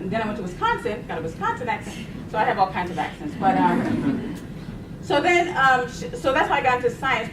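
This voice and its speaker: A middle-aged woman from Boston speaking on a panel in a chatty way, laughing as she tells stories. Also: mid-range voice. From Boston, United States. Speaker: panelist, science agency program director. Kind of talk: conversational panel talk. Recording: echoey room, distant mic, noisy.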